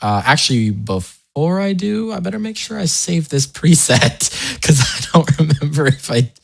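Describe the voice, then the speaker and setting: A man hosting a casual software tutorial, talking informally with wry asides and interrupting himself as he goes. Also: on the high side, very animated, moderate pace, vocal fry. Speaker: tutorial host.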